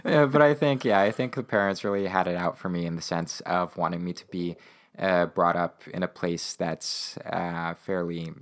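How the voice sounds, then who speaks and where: A man's voice: sits low, normal speed. A young man with a Canadian accent, a native Ukrainian speaker, chatting enthusiastically and agreeably as an interview guest, repeating words for emphasis. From Winnipeg, Canada. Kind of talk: casual chat.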